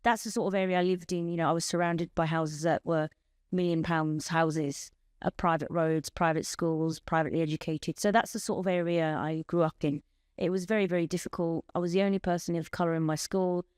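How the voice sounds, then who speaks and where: A woman in her thirties, born in Bangladesh with Bengali as her first language, speaking as a podcast guest in an earnest, measured way and telling her story in long lists. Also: on the low side, fairly even intonation, darkish tone, smooth, no room sound, studio quality. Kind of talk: personal testimony in an interview.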